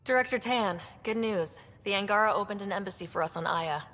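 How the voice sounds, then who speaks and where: An actress playing a young human space explorer in a video game, earnest and determined. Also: female voice, medium pitch, a little fast, a bit bright, soft background music, natural voice. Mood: firm. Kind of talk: naturalistic video game acting.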